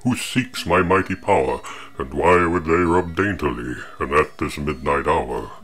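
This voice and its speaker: A man doing a deep, scheming genie. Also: a little slow, fairly bright tone, soft background music. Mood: puzzled, grand. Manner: grand, theatrical, old-fashioned speech. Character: boastful scheming male genie, grand and crafty.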